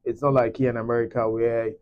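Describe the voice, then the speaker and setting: A man's voice: medium pitch, flat delivery, fast talker, highs cut off. A young man with a Nigerian accent co-hosting a casual podcast, laid-back and joking. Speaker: co-host and nurse.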